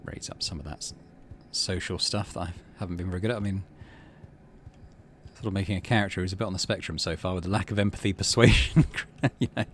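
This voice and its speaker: A man's voice: sits low, fairly even intonation, fast talker, a little hiss or hum. A man doing casual game commentary, thinking aloud and reading game text out as the host of a gaming channel.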